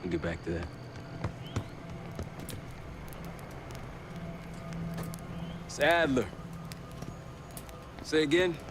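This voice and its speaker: A man's voice: medium pitch, big pitch swings, moderate pace, heavy background noise, close to an everyday voice. An actor playing a businesslike, decisive male covert team leader giving briefings in a cinematic action game. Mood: brisk, wary.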